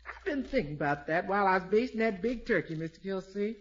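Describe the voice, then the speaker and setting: An actress playing a hearty, good-natured housekeeper, folksy and emphatic, in 1950s radio comedy. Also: female voice, mid-range voice, lively intonation, quick pace, a little hiss or hum, lightly acted. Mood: thoughtful. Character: hearty, good-natured female housekeeper and cook, repeats herself gleefully. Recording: muffled vintage 1950s radio recording.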